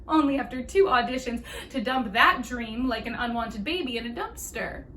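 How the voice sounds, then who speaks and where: A woman's voice: high-pitched, bright, forceful tone, a little hiss or hum, heavily acted. A woman acting a scathing, sarcastic, self-righteous young woman in a theatrical monologue, with biting mockery and emphatic stresses. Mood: scornful.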